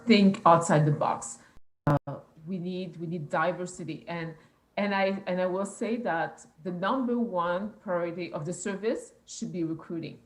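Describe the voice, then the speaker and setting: A woman police officer with a French accent, answering interview questions in a halting way and often repeating words. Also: lowish voice, poor audio.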